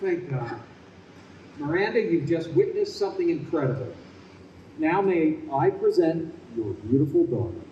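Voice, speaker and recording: An actor playing an earnest doctor in a delivery room, encouraging and dramatic, in old-time radio style. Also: male voice, a little high, big pitch swings, normal speed, pauses often, far from the mic. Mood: relieved awe. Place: live performance in a convention room. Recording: dull echoey room recording, faint noise.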